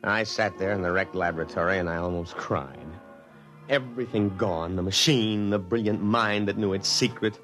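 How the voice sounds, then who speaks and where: A man acting a pompous, excitable army officer in a blustering, dramatic 1950s radio drama style. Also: lowish voice, normal speed, faint music behind, close to an everyday voice. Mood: tense, rueful narration. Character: pompous, excitable male army officer narrating his downfall.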